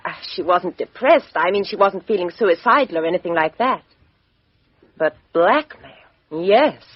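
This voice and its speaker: An actress playing a cool, sharp-witted young British woman in a radio mystery drama, self-possessed and clipped in speech. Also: lowish voice, expressive, moderate pace, breathy edge, no room sound. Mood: eager, agitated. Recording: dull lo-fi radio drama recording.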